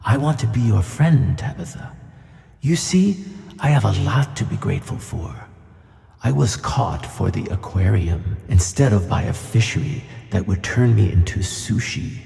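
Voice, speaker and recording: A man playing a huge, gentle old flying tuna, wise and wistful, with a deep, gravelly voice in a children's audio play. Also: very animated, heavily acted. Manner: slow, measured, kindly. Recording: long hall reverb on voice.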